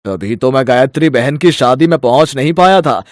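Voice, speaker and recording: A man's voice: mid-range voice, animated, normal speed, no echo, clean studio sound, lightly acted. A man voicing a scheming sorcerer who poses as a sweet-talking uncle in a story, persuasive and emphatic. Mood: ingratiating.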